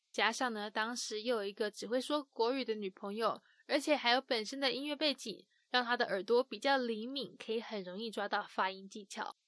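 A woman's voice: on the high side, a bit bright, no echo. A woman narrating and explaining an interview clip in a friendly, encouraging way. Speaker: podcast host explaining the clip. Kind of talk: scripted narration.

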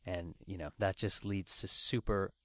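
A man's voice: low-pitched, steady tone, normal speed, no echo. A man interviewing a guest in an upbeat, enthusiastic way with lots of fillers. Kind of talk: casual conversation.

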